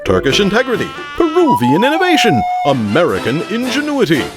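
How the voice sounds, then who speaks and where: An actor playing a sardonic, melodramatic narrator and pitchman of an old-time radio serial, arch and grandiose. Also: huge pitch swings, a little fast, over loud music. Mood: salesy, upbeat. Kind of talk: 1930s radio announcing.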